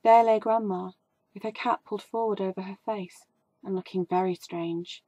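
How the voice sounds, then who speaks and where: Woman reading a storybook, husky, dry close sound, mid pitch. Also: fairly even intonation, moderate pace, mellow, no room sound. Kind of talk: storybook narration.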